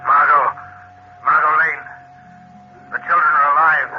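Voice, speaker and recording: A man's voice: fairly high pitch, level tone, measured pace, lightly acted. An actor playing an urgent masked male hero in a 1940s radio drama, pleading for help while being chased. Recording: dull lo-fi old radio recording with music.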